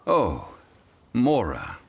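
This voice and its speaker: Very deep, dignified gentleman scholar. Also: wildly swooping pitch, music in the background, full character voice. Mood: unruffled. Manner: formal, measured, eloquent, old-fashioned phrasing. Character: dignified, composed, scholarly male funeral parlor consultant. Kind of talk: scripted video game character dialogue.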